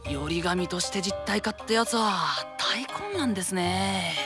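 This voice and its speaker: A woman's voice: mid-range voice, expressive, a little slow, over music. A woman playing a cheerful, naive teenage boy shopkeeper in a radio drama, with polite, eager merchant patter. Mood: curious. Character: cheerful naive teenage boy, young secondhand-shop owner. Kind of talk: anime-style radio drama acting.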